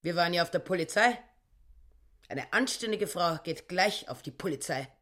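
An actress playing a grasping, self-righteous, narrow-minded housewife who speaks in aggrieved, clipped phrases in a radio drama. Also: female voice, lowish voice, expressive, normal speed, a bit bright. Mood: indignant, accusing.

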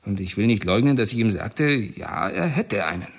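A man's voice: on the low side, animated, moderate pace, slightly rough. An actor playing a male prison informant in a radio drama, speaking in a confessional, uneasy manner.

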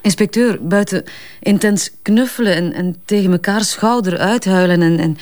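A woman acts out a self-assured Flemish advertising strategist in a crime drama. She answers police questions briskly and candidly, with small hesitations. When pressed she turns defensive, but the delivery stays natural. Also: medium pitch, a little mellow, close to an everyday voice.

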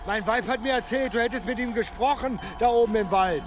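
Belligerent villager, high-pitched. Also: male voice, brisk, music in the background. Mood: accusing. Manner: accusing, gruff, domineering. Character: suspicious, belligerent village man, a goat farmer. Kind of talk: German radio drama acting.

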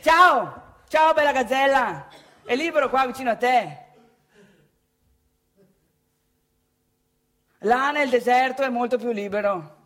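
A woman's voice: sits high, normal speed, fairly bright tone. A woman performing a comic stage monologue as a brash, grumbling provincial man with a northeastern Italian accent, colloquial, boastful and repetitive. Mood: cocky, rebuffed. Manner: colloquial, boastful, repeats phrases, addresses listeners directly. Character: brash, grumbling provincial young man chasing women in bars.

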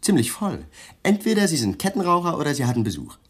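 An actor playing a police lieutenant who questions in a polite, insinuating, deliberate way, in a German radio crime drama. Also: male voice, a little high, huge pitch swings, slightly rough, heavily acted.

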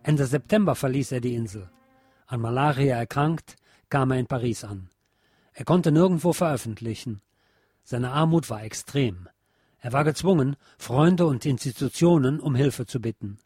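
A man's voice: medium pitch, normal speed, dark tone, slightly rough, dry recording, clean studio sound. A man narrating a biography from a script for radio.

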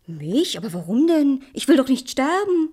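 An actress playing an innocent, trusting young princess, naive, earnest and pleading in a fairy-tale radio play. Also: female voice, high-pitched, very animated, normal speed, dark tone, slightly rough, exaggerated voice. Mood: disbelieving, pleading. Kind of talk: German radio play, theatrical.

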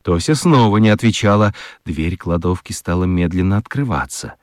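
A man narrating a children's radio play as an expressive storyteller. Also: deep voice, normal speed, dry recording, studio quality.

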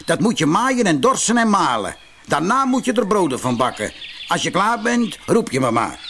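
Little old fairy-tale man, voiced by a male reader: curt, bossy, unfriendly, high-set and animated. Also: normal speed, slight background noise, exaggerated voice. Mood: bargaining, bossy. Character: small unfriendly old man who sets hard tasks.

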